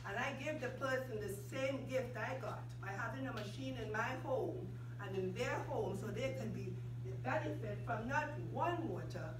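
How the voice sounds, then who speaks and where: Fervent woman in her seventies. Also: fairly high pitch. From Caribbean. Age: 70s. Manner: fervent, preacherly, addresses crowd as folks. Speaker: speaker at a sales workshop. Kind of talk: motivational testimonial talk. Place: office meeting room with audience. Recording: roomy, distant mic, noisy room.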